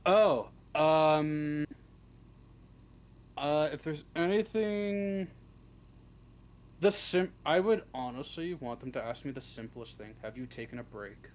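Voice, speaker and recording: A man with a slightly high voice talks slowly and haltingly in a casual interview. He is jokey and laughs often. There is faint noise behind him. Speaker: interview guest, script writer. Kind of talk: casual chat. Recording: faint background noise on live stream.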